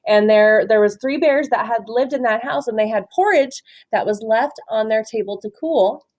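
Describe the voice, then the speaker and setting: A woman doing an interactive picture-book read-aloud for children, expressive and often asking questions. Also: on the high side. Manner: expressive, asks questions and pauses for answers. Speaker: storytime reader, teacher.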